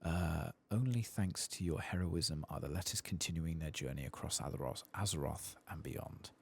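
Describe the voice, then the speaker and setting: A soft-spoken man giving low-key ASMR commentary as he plays a game, muttering to himself. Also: fairly quick, vocal fry, breathy edge. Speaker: gaming video host.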